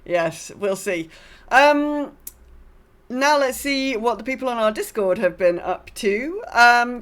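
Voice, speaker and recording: A woman co-hosting a podcast in casual chat about gaming, informal and rambling, with a self-deprecating streak. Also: a little high. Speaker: podcast co-host.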